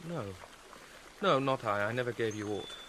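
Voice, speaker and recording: Male actor as tormented young prince, bitter, volatile, theatrical radio Shakespeare, deliberate pauses, faintly noisy recording. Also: medium pitch, expressive, fairly bright tone, lightly acted. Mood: guarded. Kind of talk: Shakespearean radio drama acting.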